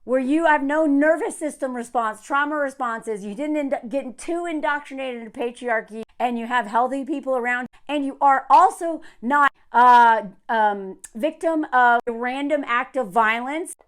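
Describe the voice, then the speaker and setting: An American woman from the South talking to camera in a casual, emphatic rant, sardonic and quick to find things funny. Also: high voice, clean tone. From southern United States. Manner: emphatic, sardonic, laughing often. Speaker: online content creator.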